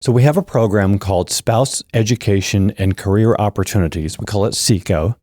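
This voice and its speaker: A man hosting a podcast interview, asking steady, prepared-sounding questions. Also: on the low side, fairly quick, dry recording, clean studio sound. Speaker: podcast host.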